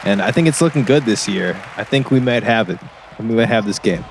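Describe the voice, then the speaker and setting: A man playing an earnest small-town color commentator on sports radio, rambling in a halting, repetitive, folksy way. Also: fairly low pitch, expressive. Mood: chatty, hopeful. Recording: faint gym crowd and noise behind.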